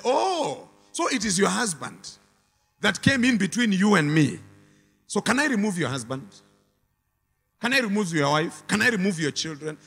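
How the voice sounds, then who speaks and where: High, bright-voiced man preaching in a hall. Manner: emphatic, repetitive call-and-response preaching. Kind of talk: sermon.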